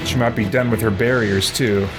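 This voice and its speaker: Man doing casual gameplay commentary, loud music. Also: fairly low pitch, steady tone, moderate pace, low-quality recording. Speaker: let's play host. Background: loud game music.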